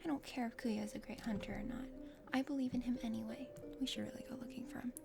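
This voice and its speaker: A woman voicing a kind, earnest young aspiring knight in a breathy ASMR game reading. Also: a little high, fairly even intonation, fast talker. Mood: protective. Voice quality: whispery, breathy. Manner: gentle, sincere. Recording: soft music behind.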